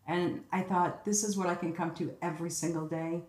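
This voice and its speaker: An older woman with a slightly low voice tells her life story in a chatty, laughing way, acting out the things people said to her. Her voice bounces around a roomy, echoey space. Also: brisk. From Egypt. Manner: chatty, laughing, animated quoting. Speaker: guest, casting director. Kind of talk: casual interview storytelling.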